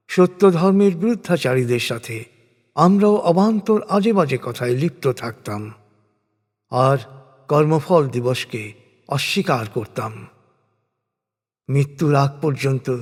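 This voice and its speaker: A man reads scripture in translation as a solemn scripted narration, with measured, dramatic phrasing and deliberate pauses. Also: medium pitch, darkish tone. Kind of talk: solemn scripted religious recitation in prose. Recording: long hall-like reverb.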